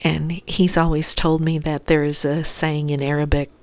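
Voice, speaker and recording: A woman's voice: deep voice, level tone, normal speed, a little mellow. A woman narrating a scripted episode recap as host, adding chatty asides to listeners.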